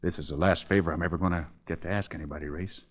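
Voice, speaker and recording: A man playing a weary, tough dying convict pleading for his family in rough streetwise slang, in 1940s radio drama style. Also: low voice, steady tone, racing, slight background noise, lightly acted. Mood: earnest, weary. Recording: muffled old 1940s radio recording.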